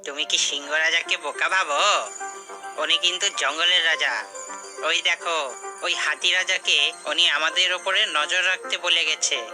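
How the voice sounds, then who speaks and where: A woman's voice: deep voice, steady tone, measured pace, over music. A woman voicing a brave, cunning mother goat in a cartoon fairy tale, speaking in a bold, challenging way. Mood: bold bluffing.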